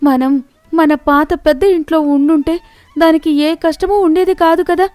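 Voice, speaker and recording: Woman putting on a plaintive, high-pitched older village mother-in-law. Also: steady tone, a little slow, a little mellow, dry recording. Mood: distressed, pitying. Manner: plaintive, pleading.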